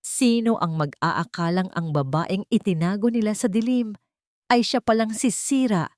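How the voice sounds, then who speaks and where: A woman with a Filipino accent narrating a story in a measured, expressive, dramatic storytelling style. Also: medium pitch, no echo. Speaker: narrator of audio drama stories.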